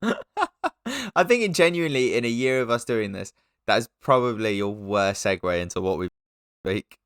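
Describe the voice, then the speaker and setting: Young guy teasing his mates on a podcast, bright and bouncy voice. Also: medium pitch, expressive, moderate pace, clear, resonant tone, no room sound, clean studio sound. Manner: teasing, bossy with the other hosts. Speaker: podcast co-host. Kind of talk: casual podcast banter.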